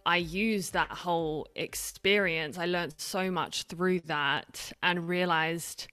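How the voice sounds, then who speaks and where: A woman's voice: fairly low pitch, fairly even intonation, slow pace, a bit bright, smooth. A woman in her thirties with a mixed Australian and British accent, a guest telling her story openly and reflectively in an interview. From Australia and Britain. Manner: open, reflective storytelling with frequent restarts. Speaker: podcast guest. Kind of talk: conversational interview.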